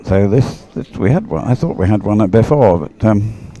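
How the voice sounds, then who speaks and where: A man's voice: fairly low pitch, lively intonation, normal speed, warm tone. A man commentating live on a boat race, hesitant and thinking aloud as he works out what is happening. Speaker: sports commentator.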